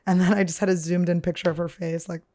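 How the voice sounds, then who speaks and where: Deep-voiced woman rambling crudely. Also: level tone, quick pace, dark tone. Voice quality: deep, damaged-sounding voice. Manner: talks nonstop, crude and self-mocking. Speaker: podcast host. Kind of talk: rambling comedic storytelling.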